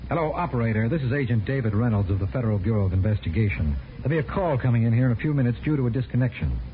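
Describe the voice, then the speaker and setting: An actor playing a brisk, polite but pressing FBI agent in 1950s radio drama style. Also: male voice, mid-range voice, animated, a little hiss or hum, close to an everyday voice. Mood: brisk, official. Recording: tinny, band-limited 1950s radio recording, music.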